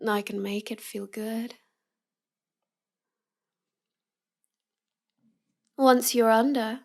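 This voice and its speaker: A woman playing a cute, teasing young villainess in an intimate roleplay audio, drawing out her words as she mocks the listener. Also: on the high side. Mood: coaxing, gloating. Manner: slow, teasing delivery with drawn-out words and giggles. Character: cute, teasing, mocking young villainess with mind-control powers.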